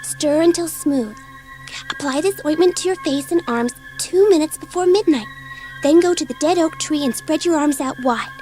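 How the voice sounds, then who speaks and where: A woman's voice: high voice, moderate pace, dark tone, soft background music, lightly acted, rough recording. A woman playing an eager, impulsive, breathless schoolgirl in a dramatised children's audiobook. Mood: reading aloud. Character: bored, impulsive, excitable schoolgirl dabbling in magic.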